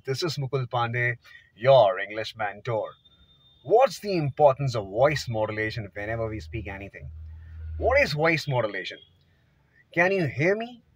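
A man's voice: medium pitch, no echo. A man in his thirties with an Indian accent, giving an emphatic, informal English lesson into a phone inside a car. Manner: emphatic, demonstrative, swinging between soft and loud. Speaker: English teacher. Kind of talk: informal video lesson to camera. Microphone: phone at arm's length. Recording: dull lo-fi phone audio.

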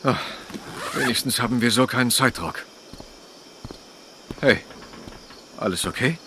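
An actor voicing a steady, encouraging young park ranger in a video game dub, casual and supportive. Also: male voice, medium pitch, a little slow, noisy background, natural voice. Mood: reassuring. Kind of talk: dubbed video game acting.

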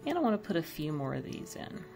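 Gravelly-voiced woman decorating her kitchen. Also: low voice, fast talker, over music. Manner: relaxed, chatty, thinking aloud. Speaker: home decor YouTuber. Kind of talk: casual voice-over demo. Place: home kitchen.

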